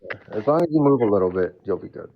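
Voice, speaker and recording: A man's voice: on the low side, expressive, fast, a little hiss or hum. A man giving casual instructions to other players in an online game voice chat. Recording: dull lo-fi voice chat.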